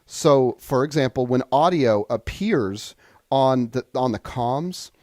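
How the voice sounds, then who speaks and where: Man giving a halting software tutorial. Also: mid-range voice, dry recording, studio quality. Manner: halting, thinking aloud, explanatory. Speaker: software trainer. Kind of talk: unscripted screen-share tutorial.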